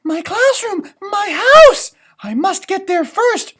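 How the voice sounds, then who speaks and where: A plucky little storybook gingerbread man, acted by a man, pitched high and leaping about in tone. He exclaims each declaration with big, excitable emphasis. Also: slow, heavily acted. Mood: urgent, determined. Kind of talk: storybook read-aloud for children.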